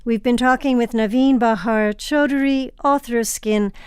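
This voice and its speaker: A woman hosting a public radio show in a polished, measured, articulate way, presenting and interviewing. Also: a little high, fairly even intonation, clean tone, dry recording, clean studio sound.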